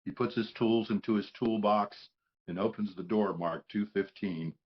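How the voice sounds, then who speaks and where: A man's voice: lowish voice, normal speed, husky, dull audio. A man reading stage directions as the narrator of a script, in scripted narration style.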